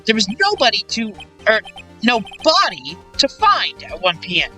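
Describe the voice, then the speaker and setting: Girl doing young lawyer guy in a courtroom game fandub, bright voice, pitch jumps around, halting. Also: huge pitch swings, distant mic, faint music behind, exaggerated voice. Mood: confrontational. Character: young male defense lawyer challenging a witness. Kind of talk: amateur fan dub of a courtroom game.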